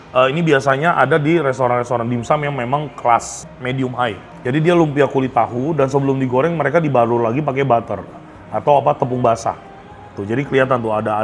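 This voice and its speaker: A man with an Indonesian accent giving a casual food review, chatty and addressing viewers as friends. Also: medium pitch. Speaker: food vlogger host. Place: restaurant. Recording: clear background noise, restaurant ambience.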